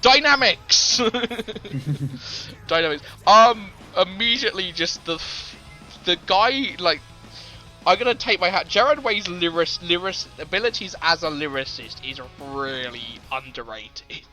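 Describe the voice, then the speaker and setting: A man's voice: high-pitched, expressive, a little slow, sharp tone, low-quality recording. A man co-hosting a casual music podcast, rambling with many fillers and restarts and laughing easily. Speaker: podcast co-host. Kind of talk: casual chat about music.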